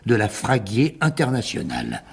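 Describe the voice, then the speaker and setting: A wily middle-aged businessman in a radio mystery play. His voice is husky and creaky, with swooping, theatrical intonation. He is unctuous and condescending, with a cowardly streak. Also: medium pitch, huge pitch swings, normal speed, full character voice. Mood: unctuous, persuasive. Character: wily older businessman, cowardly then smugly blackmailing.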